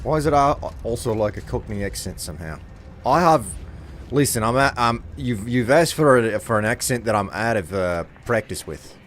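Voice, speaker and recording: A married man streaming a game, rambling casually and self-deprecatingly with halting restarts. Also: mid-range voice, animated, fairly bright tone, slight background noise. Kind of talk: casual gameplay commentary.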